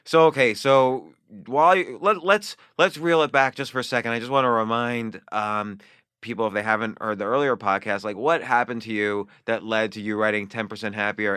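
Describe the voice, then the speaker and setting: A man's voice: fairly low pitch, fairly quick, pushed and bright, clean tone, no room sound. A man hosting a podcast in person in a studio, with a voice he calls whiny, talking casually with lots of fillers. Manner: chatty, filler-heavy. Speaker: podcast host. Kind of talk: casual interview.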